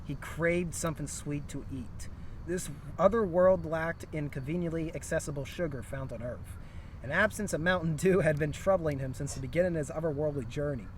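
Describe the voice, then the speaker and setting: Outdoor ambience with faint vehicle noise sits behind a man with a slightly high voice. He reads a comic fantasy story aloud and drops into casual chat between passages. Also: a little fast. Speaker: co-reader in a story read-along. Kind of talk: humorous story reading with casual asides. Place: outdoors near a historic town site.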